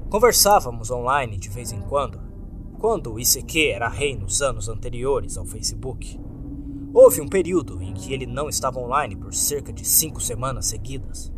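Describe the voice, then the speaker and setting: A man's voice: mid-range voice, expressive, moderate pace. A man with a Brazilian accent reading a scripted first-person horror story with dramatic delivery, as narrator. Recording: background music under the voice. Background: music.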